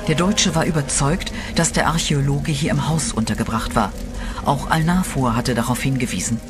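A male narrator for a horror radio play who tells the story in a steady, dramatic way. His voice sits at a middle pitch for a man, and eerie music plays clearly underneath. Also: normal speed.

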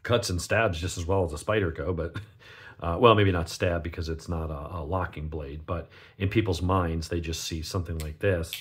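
Male reviewer, low husky gravelly voice, stuffed up with a cold, talking casually and off the cuff. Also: steady tone, normal speed. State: sniffly with a cold. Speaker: knife reviewer, channel host. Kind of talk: casual unscripted product review.

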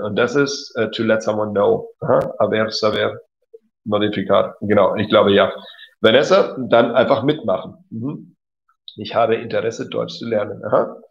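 A man's voice: mid-range voice, gravelly. A man teaching German in a live stream, reading out viewers' messages and praising them often. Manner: encouraging, says "genau" and "cool" often. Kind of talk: live-stream language lesson reading chat. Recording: dull lo-fi sound.

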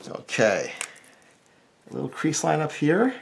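A middle-aged American man teaches drawing in a casual, informal way. His pitch moves around in a lively fashion, and there is a lot of gravelly fry in his voice. He thinks aloud as he goes, step by step. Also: a little slow, a little hiss or hum. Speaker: cartoonist, drawing instructor.

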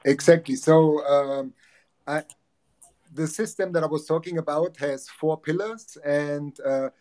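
A man's voice: on the high side, steady tone, measured pace, mellow. A man in his forties with a German accent, earnestly explaining his ideas as a guest on a video call. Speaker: guest, relationship coach. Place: home office with bookshelves.

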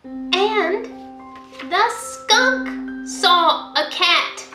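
A young woman, a school librarian, reading a picture book aloud to children in an expressive, sing-song way. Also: very high voice, extremely slow, roomy sound, over music. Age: young adult. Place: indoor room at home, by a window.

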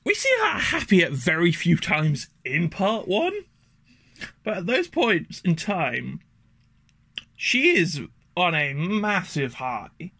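A man presenting a video essay in a casual, thoughtful, pausing way. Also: sits high, bright, forceful tone, no echo.

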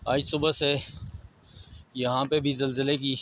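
A man with a Pakistani Urdu accent, walking a mountain road and calmly pointing out sights to his viewers. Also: mid-range voice, slight background noise. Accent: Pakistani Hindko-area Urdu. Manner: unhurried, pointing things out to viewers. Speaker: travel vlogger. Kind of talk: casual walking tour narration.

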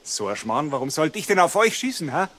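Indignant husky villager. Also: male voice, fairly high pitch, big pitch swings, fairly quick, fairly bright tone. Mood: indignant, defensive. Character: defensive, indignant Bavarian villager. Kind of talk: audio drama acting.

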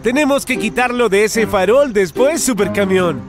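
A man voicing an excitable, friendly helper in a children's cartoon, talking in simple, encouraging exclamations. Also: high-pitched, moderate pace. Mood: excited. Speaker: cartoon narrator and character. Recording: cartoon music under the voice.

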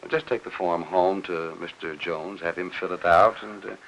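An actor in his sixties with an American accent playing a courteous, rule-bound post office official, measured and formal, in a fifties film. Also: low voice, fairly even intonation, gravelly, a bit bright, rough recording. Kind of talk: 1950s film acting. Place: office set. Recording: hissy old 1950s film soundtrack.

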